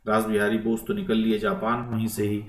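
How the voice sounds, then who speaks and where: A man's voice: fairly low pitch, completely flat delivery, brisk. Echoey man teaching. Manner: instructive, repetitive, frequent rising check-in tags. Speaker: teacher, exam-prep history lecturer. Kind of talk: informal lecture reviewing exam questions. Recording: echoey room, distant microphone.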